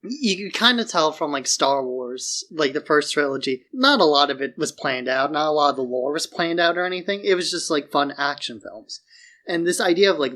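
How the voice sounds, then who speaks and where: A man's voice: fairly high pitch, a bit bright. A man co-hosting a podcast in an informal, chatty way, often dropping "like" into his sentences. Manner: informal, peppers speech with "like". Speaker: podcast co-host. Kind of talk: casual chat.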